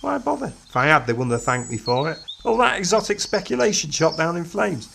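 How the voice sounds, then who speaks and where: A reader puts on a blunt, sardonic male time-traveller with a gruff, offhand delivery. His voice sits a little higher than a typical man's, with a soft hiss in the background. It suits a storybook reading. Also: very animated, a little fast, full character voice. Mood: dry. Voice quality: gruff, casual, dry.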